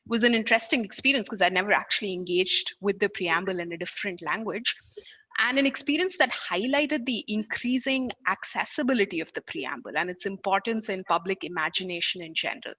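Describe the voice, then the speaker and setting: A woman's voice: medium pitch, brisk, pushed and bright, low-quality recording. A woman from India, a native Tamil speaker with an Indian accent, introducing a discussion as its moderator.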